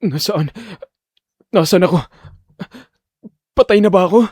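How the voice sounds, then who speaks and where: A man's voice: sits high, measured pace, a little mellow, breathy edge, no room sound, clean studio sound, voice pushed up. A man playing a bewildered lawyer in his thirties lost in time, asking dramatic questions in an audio drama. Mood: bewildered, alarmed. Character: bewildered thirty-year-old male lawyer transported through time.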